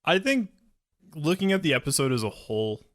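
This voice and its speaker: A man co-hosting, talking casually in a relaxed group conversation. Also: on the high side, a little fast. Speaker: podcast co-host. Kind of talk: casual panel chat.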